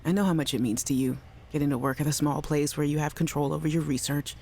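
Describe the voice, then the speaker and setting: An actress playing a cheerful, affectionate young scientist leaving voice messages for a little sister in a video game. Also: female voice, sits low, fairly even intonation, fast talker, a little mellow, slight background noise. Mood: sympathetic, warm. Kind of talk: scripted audio message voice acting.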